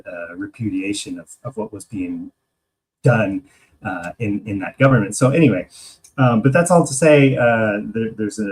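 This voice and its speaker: American guy, lowish husky voice, podcast cohost, kinda halting, thinking out loud as he chats. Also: low-quality recording. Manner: hesitant, halting, thinking aloud. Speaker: podcast co-host. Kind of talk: casual political discussion.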